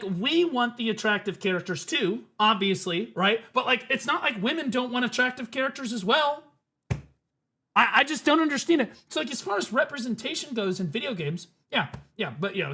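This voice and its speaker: A man's voice: high-pitched, bright, forceful tone. A man streaming, reacting to clips with emphatic, profane, rambling commentary. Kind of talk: casual ranting commentary.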